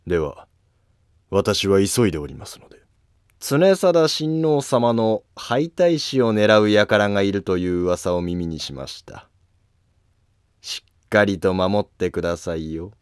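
A man's voice: lowish voice, expressive, measured pace, smooth, no echo, clean studio sound. A man voicing a sly scheming court nobleman in a historical cartoon drama, with mock-courteous, needling politeness. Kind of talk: Japanese historical animated drama acting.

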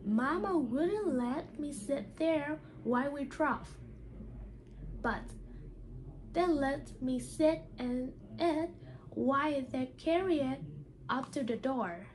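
A woman who speaks English as a second language, talking carefully and haltingly as a teacher doing a read-aloud lesson. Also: high voice, a little mellow, slight background noise. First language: not English. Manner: careful, halting delivery with stumbles over words. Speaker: teacher reading a picture book aloud.

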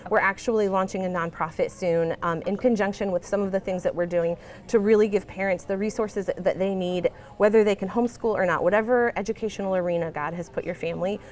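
A woman's voice: mid-range voice, fairly even intonation, fast, few pauses, a little mellow. A woman in her forties, the owner of a library space, chatting in a friendly, talkative interview, recorded indoors on a lapel mic. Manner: friendly, talkative, laughs easily. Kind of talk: casual interview. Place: indoor library with coffee shop.

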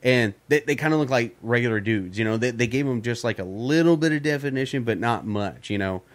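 A man's voice: mid-range voice, fairly quick. A man co-hosting a casual podcast, rambling with many fillers and casual swearing. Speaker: podcast co-host.

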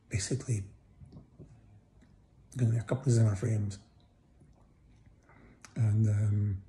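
A middle-aged man talks from a hospital bed, his voice croaky and gravelly. The tone is low and very dark, and the phone sits close to his face. He speaks tiredly, with many pauses, as he gives a casual update. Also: a little hiss or hum. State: croaky voice after breathing tubes. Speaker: vlogger giving a hospital update. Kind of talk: casual personal video update. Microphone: phone held close above face.

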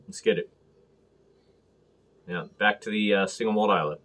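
An American man hosting a casual beer review to camera, talking in halting, clipped short phrases. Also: fairly low pitch, steady tone, fairly bright tone. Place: small room at home, indoors.

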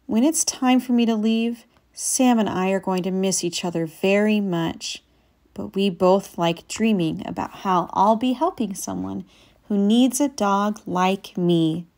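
Storybook puppy hero-in-training read by a woman: eager, earnest, childlike, unhurried. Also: mid-range voice, darkish tone, natural voice. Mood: cheerful, affectionate. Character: eager young male puppy training as service dog.

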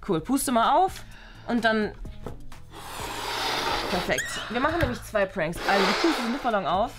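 A woman's voice: mid-range voice, animated, close to an everyday voice. A woman voicing a cool, bossy, sarcastic new schoolgirl in a children's toy-play story. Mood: bossy, scheming. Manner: sarcastic, assertive, brisk. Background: prominent music.

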